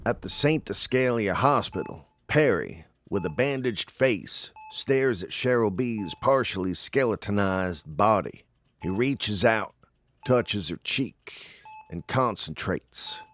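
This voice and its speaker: A man's voice: on the low side, animated, slow pace, a bit bright. A man reading scripted dramatic narration as the narrator of a story.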